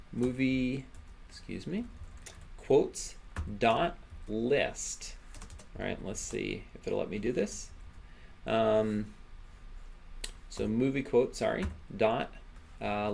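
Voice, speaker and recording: Gravelly, halting male programming instructor. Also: medium pitch, a little hiss or hum. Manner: halting, with repeated words and tag questions. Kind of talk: informal screencast coding tutorial.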